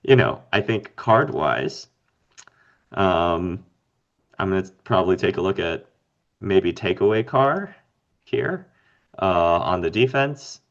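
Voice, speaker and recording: A man co-hosting a casual fantasy game podcast, slightly raspy from being under the weather, rambling and thinking aloud with lots of fillers. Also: low-pitched, lively intonation, slow, pauses often, lo-fi sound. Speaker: podcast co-host.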